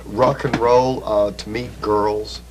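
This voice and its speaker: A man interviewing musicians, hesitant and halting with many fillers. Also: on the low side, little pitch movement, background noise.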